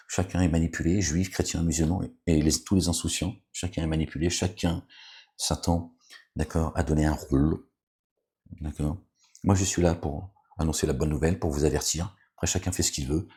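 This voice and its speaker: Very deep-voiced man preaching casually. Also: darkish tone. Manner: rambling, repetitive, frequent fillers and asides to listeners; preachy, admonishing. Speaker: religious commentator reading scripture. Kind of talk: informal spoken sermon with scripture reading.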